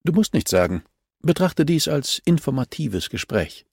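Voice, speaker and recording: A man voicing a cool, relentless policewoman in a crime audiobook, calm and insistent as she accuses a suspect. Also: on the high side, moderate pace, vocal fry, slightly rough, dry recording, studio quality, pitched above the performer's own voice, full character voice. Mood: firm.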